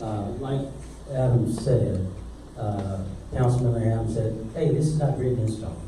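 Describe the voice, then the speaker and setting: Brief: polite developer rep, very dark mellow voice, echoey hall, distant mic. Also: male voice, lowish voice, measured pace, a little hiss or hum. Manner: courteous and reassuring, "yes, ma'am". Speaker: developer representative. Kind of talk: answering questions at a public meeting. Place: large community meeting hall.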